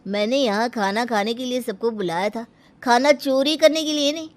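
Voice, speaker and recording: A woman's voice: fairly high pitch, normal speed, pitched above the performer's own voice, lightly acted. A woman voicing an indignant man hosting a wedding in a cartoon story, scolding in an accusing, emphatic way.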